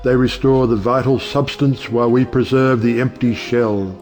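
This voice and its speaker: A man acts out an eloquent stage-play judge with lofty ideals. His delivery is oratorical and grand, in a mid-pitched male voice over faint music. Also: steady tone, moderate pace, a little mellow, natural voice. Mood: impassioned. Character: eloquent, high-minded male judge defending lovers.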